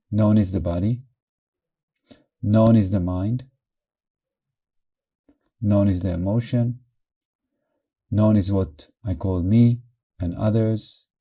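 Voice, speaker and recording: Very mellow, soft-edged male meditation guide. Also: on the low side. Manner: calm, measured, short phrases with pauses. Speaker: meditation teacher.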